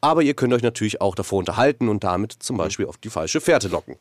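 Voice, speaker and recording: A man's voice: on the low side, lively intonation, quick pace, dry recording. A man hosting a game show, explaining the rules of the next round in an orderly way. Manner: clear, orderly explaining with small fillers.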